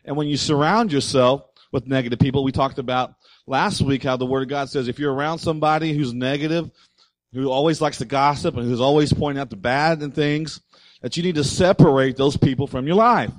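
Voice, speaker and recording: A man preaching a sermon as pastor, slightly hoarse, speaking loudly and emphatically and calling out to the congregation. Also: medium pitch, a little fast, low-quality recording. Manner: loud, emphatic preaching with audience call-outs. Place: church.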